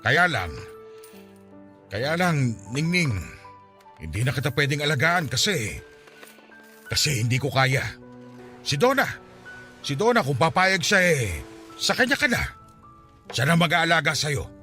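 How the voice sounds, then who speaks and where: A man with a Filipino accent acting in a radio drama as a gruff, troubled loner who is a reluctant foster father, halting and insistent. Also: a little high, very animated, bright, forceful tone, soft background music, full character voice. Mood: guilty, hesitant.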